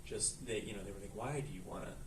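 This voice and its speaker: A man's voice: fairly low pitch, fast talker. Earnest male director at a festival Q&A; roomy, distant pickup, faint hiss. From United States. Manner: thoughtful, earnest, with fillers. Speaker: film director. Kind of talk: festival Q&A answers. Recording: echoey room, mic at a distance.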